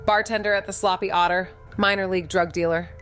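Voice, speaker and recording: A woman's voice: mid-range voice, fairly even intonation, fast talker, fairly bright tone, clear, resonant tone, faint music behind, lightly acted. A woman playing a brash, slangy, sweary amateur sleuth in casual audio drama dialogue. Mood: sardonic.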